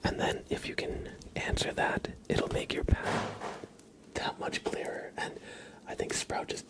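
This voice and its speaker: Softly whispering man, slow ASMR delivery. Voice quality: soft, breathy whisper. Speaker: ASMR livestream host. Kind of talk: whispered ASMR with casual chat to live viewers.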